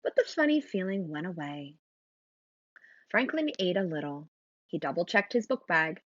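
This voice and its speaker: A woman reading a children's storybook aloud in an expressive narrating style. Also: lowish voice, moderate pace, no room sound, muffled recording. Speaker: storybook reader.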